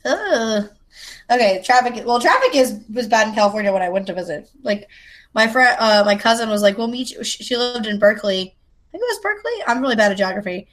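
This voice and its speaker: Playful American woman with a higher voice. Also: lively intonation, fairly quick. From South Carolina, United States. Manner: playful, self-deprecating joking. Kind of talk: casual chat.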